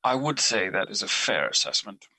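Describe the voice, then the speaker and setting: A man's voice: lowish voice, animated, normal speed, bright, forceful tone, breathy edge, no room sound, exaggerated voice, rough recording. A man playing Guilt as a personified emotion in an audio drama, cold and judgmental, with formal, mocking, accusing delivery. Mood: judging.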